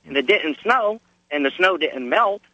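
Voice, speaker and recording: A man from Pennsylvania calling a radio show, slightly hoarse from a cold, telling a story plainly with many fillers. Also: on the high side, lively intonation, unhurried, pushed and bright, no room sound. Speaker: caller. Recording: dull phone line.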